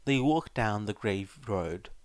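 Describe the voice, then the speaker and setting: A man's voice: on the low side, expressive, slow pace, clean tone, no echo. A man reading a fan fiction story aloud as its narrator, in scripted narration.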